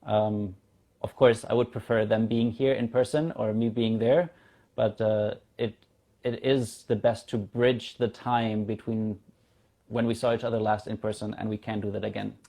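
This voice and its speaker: A man's voice: on the low side, level tone, moderate pace, vocal fry, mellow. A man in his thirties from Germany, a native German speaker, chatting casually as an interview guest. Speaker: interview guest, community founder. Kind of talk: casual video-call conversation.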